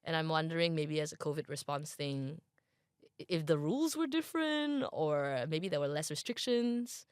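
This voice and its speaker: A woman's voice: low voice, expressive, normal speed, clean tone, no echo, studio quality. A young woman from Malaysia with a Malaysian accent, a guest in a casual interview who talks in a chatty way with many fillers, thinking aloud.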